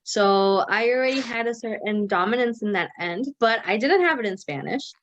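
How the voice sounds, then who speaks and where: A woman's voice: fairly high pitch, animated, moderate pace, highs cut off. A young woman the United States, talking casually as a guest in an interview, rambling with many fillers and restarts and dropping in Spanish words. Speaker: guest, company co-founder. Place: Mexico City.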